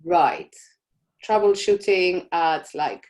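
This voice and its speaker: Chatty woman from Argentina, lowish voice. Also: slow pace. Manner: warm, chatty, many "you know" fillers. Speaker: host of a live Q&A for business owners. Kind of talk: casual live Q&A session.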